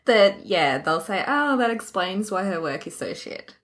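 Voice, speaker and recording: Animated, self-deprecating woman podcaster. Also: medium pitch, unhurried. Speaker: podcast co-host. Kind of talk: casual podcast chat.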